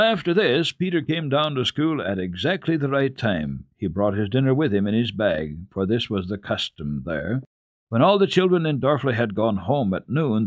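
Animated male children's storyteller. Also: fairly low pitch, dry recording, clean studio sound. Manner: expressive, deliberate storytelling. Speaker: storyteller, radio program host. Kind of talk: dramatic narration of children's literature.

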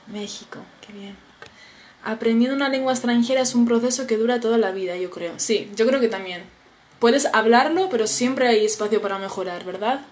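A young woman from with a slightly high voice, chatting casually into a phone at arm's length. She reads comments aloud and reacts in a friendly, drowsy way. Faint noise sits under the small room sound. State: sleepy. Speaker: Spanish teacher hosting a live stream. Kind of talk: casual live-stream chat reading viewer comments. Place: small indoor room at a table. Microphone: phone camera at arm's length.

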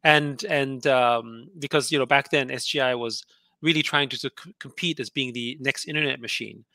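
A man's voice: medium pitch, moderate pace, pushed and bright, no echo. A middle-aged man who grew up in Austria, with German as his first language, talking casually over a headset on a video call and rambling with frequent restarts. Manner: rambling, many restarts and "you know". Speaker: guest entrepreneur.

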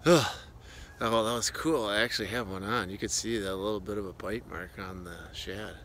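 A man in his forties filming himself ice fishing outdoors with a handheld phone, chatting in an excitable, self-deprecating way. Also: on the low side, fairly quick, slight background noise. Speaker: fishing vlogger. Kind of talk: casual vlog narration. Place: outdoors on a frozen pond. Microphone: phone camera at arm's length.

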